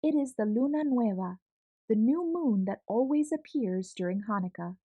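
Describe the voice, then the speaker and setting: A woman voicing a gentle, kind aunt who calmly explains things in a storybook. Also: fairly high pitch, normal speed, husky, no echo. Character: calm kind adult aunt.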